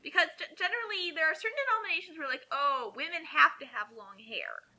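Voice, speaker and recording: A woman's voice: high voice, fast, bright, forceful tone. A woman giving casual, opinionated, sarcastic comic commentary as a co-reader.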